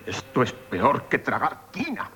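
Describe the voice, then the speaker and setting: Agitated lovesick gentleman, swooping voice. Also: mid-range voice, huge pitch swings, full character voice. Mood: jealous. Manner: agitated, halting, formal address. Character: lovesick gentleman, former woman-hater, pleading and jealous. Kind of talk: 1970s radio theatre acting. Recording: noisy 1970s radio broadcast.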